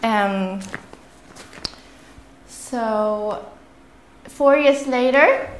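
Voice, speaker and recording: A young Swedish-accented woman speaking to a room of listeners, chatty and a little nervous, laughing at herself often. Her voice sits fairly high. The sound carries noticeable echo from the room. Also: drawn-out words, a little hiss or hum. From Sweden. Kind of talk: nervous live talk to a small audience. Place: room with audience.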